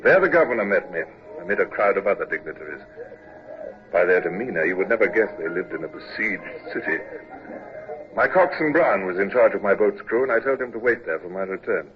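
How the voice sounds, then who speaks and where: An actor playing a decisive naval commodore in an old radio drama, giving orders and narrating in a clipped, commanding way. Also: male voice, deep voice, expressive. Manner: clipped and commanding, with halting restarts. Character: naval commodore, middle-aged man, dry and decisive. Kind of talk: 1950s radio drama acting. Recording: muffled old radio broadcast with hiss.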